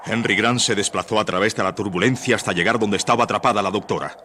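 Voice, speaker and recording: A man's voice: fairly low pitch, fast, sharp tone, a little hiss or hum. A man narrating a suspense radio play in a dramatic, scripted style.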